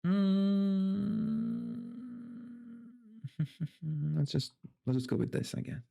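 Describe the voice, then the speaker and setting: A man's voice: high voice, animated, drawn-out words, creaky, dark tone. A man streaming, chatting in a rambling, informal way that is loose with swearing, and reading a story aloud. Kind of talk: casual livestream chat and dramatic story reading. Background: background game music.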